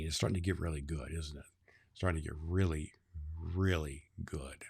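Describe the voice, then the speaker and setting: A man playing Santa Claus, reading a children's book aloud and talking to kids in a slow, gentle storytelling manner. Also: lowish voice.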